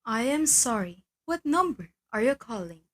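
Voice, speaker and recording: A woman's voice: fairly high pitch, sing-song, normal speed, fairly bright tone. A woman playing a polite, detached telephone switchboard operator in an amateur radio play, speaking in set phrases.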